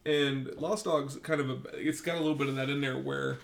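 A man's voice: medium pitch, steady tone, a little fast, vocal fry, roomy sound. A man chatting casually as a podcast guest, rambling with lots of fillers and restarts and casual swearing. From Greek-American, raised in Greece, Netherlands and South Carolina. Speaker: author guest.

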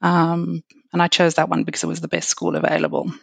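A middle-aged woman from South Africa with a South African accent, a guest chatting reflectively with frequent fillers and soft laughs. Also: on the low side, monotone, brisk, vocal fry, dry recording. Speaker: guest, reflexology and Reiki practitioner. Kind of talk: casual interview chat.

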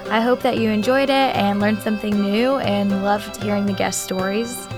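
A bubbly young woman hosts her podcast, laughing easily and poking fun at her own struggles. Her voice has an ordinary middle pitch, with faint music in the background. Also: fairly even intonation, moderate pace. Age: young adult. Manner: bubbly, laughs often, self-deprecating. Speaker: podcast host, Arabic learner. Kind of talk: casual interview chat.

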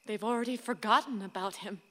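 A woman's voice: medium pitch, a little fast, pushed and bright, lightly acted. An actress playing the tender, sorrowful ghost of a young wife and mother in a radio play. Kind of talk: stage-like radio play acting. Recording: reverberant hall-like room.

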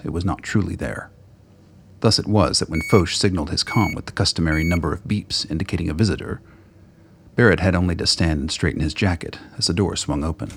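A man's voice: sits low, breathy edge. A man reading scripted narration for an audio drama.

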